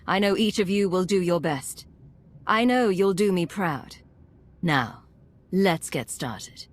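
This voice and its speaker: Female Imperial agent: slightly low, controlled, commanding game dialogue, unflappable. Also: expressive, slow. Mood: resolute. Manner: controlled, authoritative. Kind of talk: video game dialogue acting.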